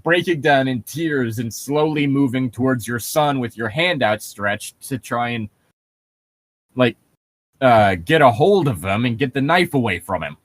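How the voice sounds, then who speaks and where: A man's voice: mid-range voice, a bit bright, no room sound. A man hosting a casual read-along, switching between reading a story and sarcastic, nitpicking, sometimes profane commentary. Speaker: host reading a story aloud with mocking commentary.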